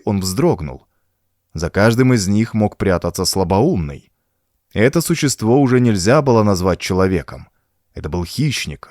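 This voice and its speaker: Guy narrating a horror audiobook, lowish voice, really lively intonation, dry studio sound. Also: no room sound. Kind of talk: dramatic scripted narration of a horror story.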